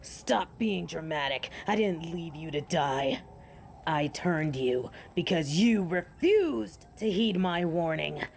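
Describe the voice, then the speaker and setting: A woman's voice: sits low, lively intonation, a little mellow, heavily acted. A woman playing a proud, sardonic female werewolf alpha in a theatrical fantasy audio drama. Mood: stern, commanding. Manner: haughty, theatrical, deliberate. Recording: clear background noise and ambience.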